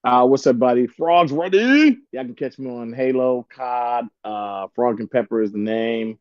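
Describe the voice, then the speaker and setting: A man's voice: mid-range voice, lively intonation, unhurried, dry recording, lo-fi sound. A man guesting on a gaming stream, laid-back and repeating his words. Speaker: co-host guest. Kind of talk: casual chat.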